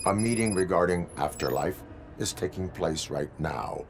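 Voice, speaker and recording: Stoic robot cop for a game, low flat formal delivery, noisy ambience behind. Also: male voice, moderate pace. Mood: deadpan resolve. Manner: deadpan, formal, clipped. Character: stoic robot cyborg policeman, formal and unyielding.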